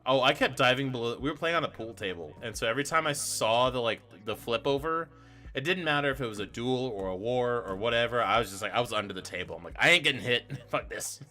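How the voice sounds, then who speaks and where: A man's voice: medium pitch, expressive, fast, bright, forceful tone, faint music behind. A young man co-hosting a trivia stream, chatting casually in a rambling, profane way and correcting himself as he goes. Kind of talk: casual chat.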